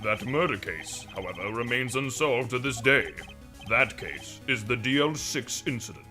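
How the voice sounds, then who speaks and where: Game fandub role: man as weary older male lawyer, ponderous and theatrical, bright swooping delivery, music underneath. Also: medium pitch, animated, moderate pace. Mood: confessional. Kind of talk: visual novel game fandub acting. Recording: music behind the voice.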